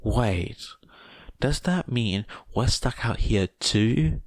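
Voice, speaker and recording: A man's voice: fairly low pitch, animated, extremely slow, vocal fry, dry recording, clean studio sound, pitched above the performer's own voice, heavily acted. A man voicing a frank, sceptical teenage girl in a story, casual and matter-of-fact. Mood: uncertain.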